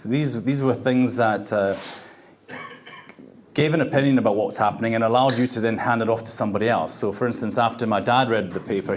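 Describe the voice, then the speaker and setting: A man raised in South Africa presents his product at a tech meetup. His voice sits a little low, and he stops and restarts often, filling the gaps with ums and uhs. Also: a little hiss or hum. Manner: halting, frequent fillers and restarts. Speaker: startup founder presenting. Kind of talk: meetup pitch talk. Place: event venue.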